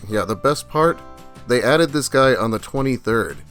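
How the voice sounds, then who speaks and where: Lively male commentator with wry delivery. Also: medium pitch, normal speed, faint music behind. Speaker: host, video creator. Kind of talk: casual commentary, reading online posts aloud.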